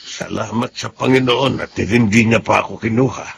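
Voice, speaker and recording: An actor playing a kindly, devout elderly grandfather with a Filipino accent and a gravelly voice, in radio drama style. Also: fairly low pitch, moderate pace, noisy background. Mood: grateful, gentle. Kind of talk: Filipino radio drama acting and first-person narration.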